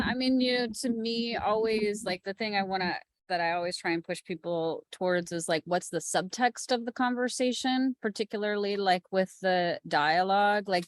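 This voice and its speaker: Casual, slightly low woman, dry sound. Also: no echo. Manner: informal, peppered with "like". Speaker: panelist, podcast producer. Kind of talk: casual panel talk.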